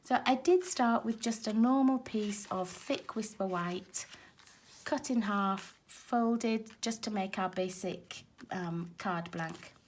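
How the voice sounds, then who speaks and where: A woman with a raspy voice, hoarse from a lingering cold, talks through a card-making demonstration. She sounds chatty and explanatory as she works with her hands at her craft table, and her pitch sits in a middle range. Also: slow, a little hiss or hum. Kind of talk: casual crafting tutorial. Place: craft table at home, filmed from overhead.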